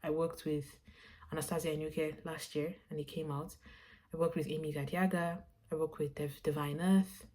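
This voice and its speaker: Slightly low-voiced young woman, casual diary chat. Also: level tone, moderate pace, a little mellow. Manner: candid, self-assured, reflective rambling. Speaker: vlogger and visual artist. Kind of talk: casual personal video diary.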